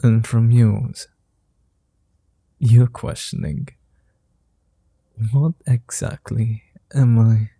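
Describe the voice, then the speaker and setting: A man playing a suave, flirty vampire in an ASMR roleplay, speaking softly in courtly, formal phrases with a gentle, teasing manner. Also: mid-range voice, fairly even intonation, no room sound, exaggerated voice. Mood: smooth, seductive. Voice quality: soft, dark, breathy. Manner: courtly formal wording, unhurried pauses, teasing.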